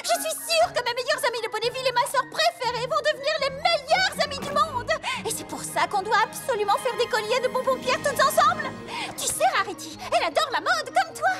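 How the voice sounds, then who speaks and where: A woman voicing an excitable, gushing young female pony in a dubbed children's cartoon, eager and dramatic. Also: extremely high pitch. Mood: gushing, excited. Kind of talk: animated children's cartoon dub.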